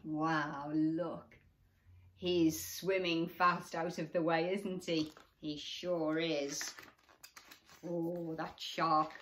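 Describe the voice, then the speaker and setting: A woman's voice: low voice, flat delivery, extremely slow, a bit bright, slight background noise. A woman reading a children's bedtime story aloud expressively, with little asides to young listeners.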